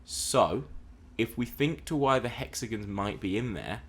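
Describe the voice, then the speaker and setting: A man's voice: mid-range voice, lively intonation, measured pace. A man co-hosting a casual film and TV discussion podcast, talking in an explanatory, emphatic way. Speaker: podcast co-host.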